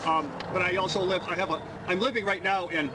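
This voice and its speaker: Old midwestern guy stumbling through answers at a traffic stop, road noise loud. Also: high-pitched, fairly even intonation. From Michigan. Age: 70s. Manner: hesitant, halting, with fillers. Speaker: stopped driver. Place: roadside by a highway.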